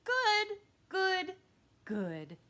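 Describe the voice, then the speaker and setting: A woman's voice: very high voice, huge pitch swings, very slow, bright, forceful tone, pitched above the performer's own voice, full character voice. A woman voicing a willful, playful toddler boy in a children's audiobook, hollering in a sing-song way. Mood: babyish.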